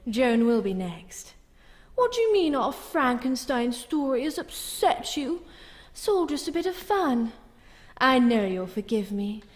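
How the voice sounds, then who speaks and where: A woman's voice: on the high side, a little mellow. A woman playing a teasing, seductive, macabre hostess of a live horror radio play. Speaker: storyteller host. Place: live in an echoing anatomy theatre. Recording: reverberant hall.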